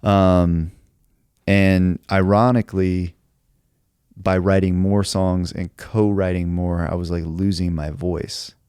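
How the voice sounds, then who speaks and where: Very deep-voiced reflective man. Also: level tone, slow pace, darkish tone, clean tone, no echo, studio quality. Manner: reflective, thinks aloud with many "like"s. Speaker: songwriter. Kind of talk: casual podcast conversation.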